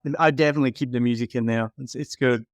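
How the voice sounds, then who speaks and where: A man's voice: medium pitch, fairly even intonation, fast, dry recording. A man giving frank, emphatic advice in a conversational critique, like an audio consultant reviewing someone's work.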